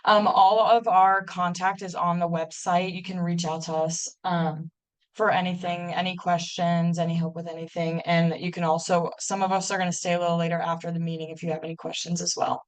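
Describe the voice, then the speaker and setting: A woman's voice: fairly low pitch, little pitch movement, brisk, rough recording. Casual college woman leading a meeting. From Ohio, USA. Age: 20s. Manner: friendly, informal, uses many "um"s. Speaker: student club president. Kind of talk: welcome talk at a club meeting. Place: classroom.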